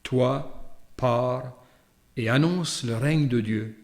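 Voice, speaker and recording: A man's voice: medium pitch, drawn-out words, vocal fry, a little mellow. A man with a Canadian French accent, a priest speaking in a reflective, conversational storytelling way with hesitant asides as he gives a homily. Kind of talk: gospel reading and conversational sermon with anecdote.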